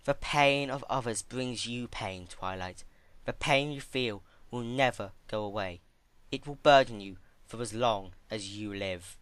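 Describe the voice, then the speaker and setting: A man voicing a regal, cold, manipulative female alicorn pony ruler in a dramatic story reading, measured and condescending. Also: fairly low pitch, a bit bright, no room sound. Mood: calm reproach.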